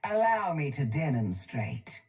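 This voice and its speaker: Cold posh villainess tycoon from a game, low voice, really slow n menacing, super haughty. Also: female voice, very animated, a little mellow. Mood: cool, menacing. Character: cold, posh, ruthless female crime-boss tycoon. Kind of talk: video game character voice lines.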